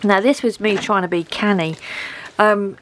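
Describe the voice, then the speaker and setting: A woman's voice: medium pitch, normal speed, a little hiss or hum, poor audio. A woman with a head cold and a dry throat, chatting in a rambling, self-deprecating way as she shows off a craft haul at home. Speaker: craft vlogger showing a haul. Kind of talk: casual chat to camera.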